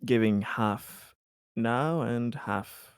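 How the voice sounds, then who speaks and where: Gravelly man, halting and formal. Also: mid-range voice, dry recording, clean studio sound. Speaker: tabletop roleplaying player. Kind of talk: in-character tabletop roleplay talk.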